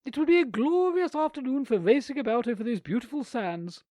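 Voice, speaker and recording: A man's voice: very high-pitched, animated, a little fast, darkish tone, no room sound, clean studio sound, pitched above the performer's own voice. A man doing a lofty, prim aunt. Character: strict, self-important middle-aged woman guardian.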